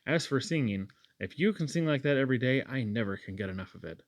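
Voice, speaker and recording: A man's voice: mid-range voice, expressive, fast, close to an everyday voice. A man voicing an eager, sweet, chatty young woman in a storybook, with gushing exclamations. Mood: lively, gushing.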